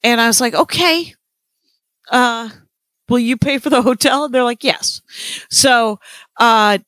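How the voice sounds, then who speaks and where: Female comic co-host, hoarse and weary, blunt deadpan delivery, bright edge, dry sound. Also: fairly high pitch, measured pace, no echo. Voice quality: scratchy voice. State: hoarse, tired. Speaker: comedian podcast co-host. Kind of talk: casual chat.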